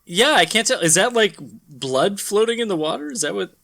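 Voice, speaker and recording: A man's voice: high-pitched, animated, fairly quick, vocal fry, a bit bright. A man hosting a podcast with casual, comedic commentary. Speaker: podcast host.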